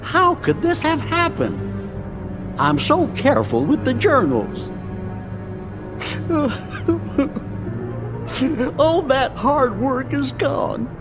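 A man's voice: very high voice, drawn-out words, pauses often, full character voice. A man voicing a tiny, fussy, genteel male cartoon cricket who keeps records, in a video game. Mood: distraught. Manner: precise, genteel, expressive. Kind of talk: video game cutscene voice acting. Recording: long hall reverb, music behind.

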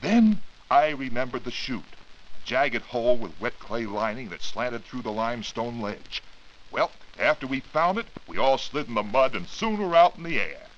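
Bright, exuberant cave explorer. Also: male voice, medium pitch, big pitch swings, normal speed. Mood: excited. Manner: eager, boyish, breezy storytelling. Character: exuberant, thrill-seeking cave explorer husband. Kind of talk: 1940s radio acting. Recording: muffled, hissy 1940s radio recording.

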